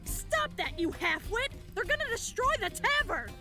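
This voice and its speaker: A man's voice: very high-pitched, big pitch swings, moderate pace, pushed and bright, faint music behind, voice pushed up, full character voice. A man voicing an alarmed, scolding tavern keeper in a video game read-aloud. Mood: panicked scolding.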